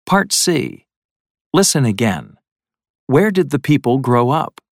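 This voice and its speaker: A male narrator reads the instructions for a classroom listening exercise. He is slow and deliberate, with lively pitch. The studio sound is dry and close. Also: no echo. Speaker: lesson narrator. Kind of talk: scripted instructions.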